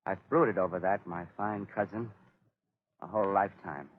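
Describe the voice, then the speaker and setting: An actor playing a dying, mad inventor who is bitter and vengeful, in a theatrical, grandiose 1930s radio drama style. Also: male voice, low voice, moderate pace, slight background noise, natural voice. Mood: bitter, menacing. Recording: dull vintage 1930s radio recording.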